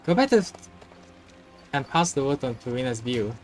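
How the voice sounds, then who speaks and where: A man's voice: mid-range voice, sing-song, moderate pace, darkish tone. A man giving offhand livestream reactions to a game, thinking aloud in a casual way. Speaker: game streamer. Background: game music.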